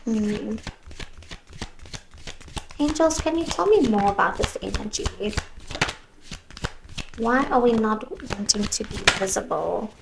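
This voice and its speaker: A woman giving a tarot reading, musing softly as she goes and sometimes slipping into a whisper, her voice worn as though she is losing it. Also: mid-range voice, gravelly, background noise. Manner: slow, soft, musing, drifts into whispers. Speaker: tarot reader.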